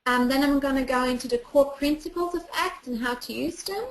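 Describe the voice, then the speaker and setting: A woman's voice: high-pitched, brisk. Austrian-accented woman presenting in an echoey room. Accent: Austrian German. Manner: explaining patiently, with frequent ums. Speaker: clinical psychologist presenter. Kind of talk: online presentation.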